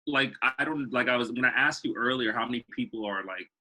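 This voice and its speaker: Bright, husky man co-hosting a podcast. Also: mid-range voice, quick pace, low-quality recording. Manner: rambling, eager, acts out quotes. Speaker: podcast co-host. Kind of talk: casual interview chat.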